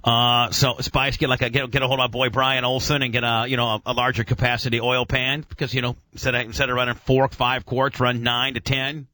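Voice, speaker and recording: A man's voice: mid-range voice, little pitch movement, a little fast, hardly any pauses, pushed and bright. A man hosting a casual motoring radio chat, rambling enthusiastically in technical gearhead jargon with mild swearing. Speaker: radio show host.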